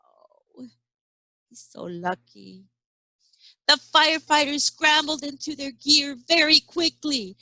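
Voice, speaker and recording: A woman reads a picture book to young children in a bright, fairly high voice. She takes her time and delivers it expressively, like a teacher, adding sound effects and chatty questions. The sound is close and dry. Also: lively intonation, slow pace, pauses often, no room sound, low-quality recording. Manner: acts out sound effects and exclamations. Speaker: early education teacher.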